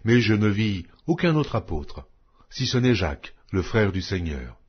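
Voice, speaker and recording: A man reads scripture in a low, husky voice that rises and falls widely across each phrase. His delivery is measured and solemn. The recording sounds a little dull and lo-fi. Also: sing-song. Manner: measured, solemn reading with wide pitch swings. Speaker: Bible reader.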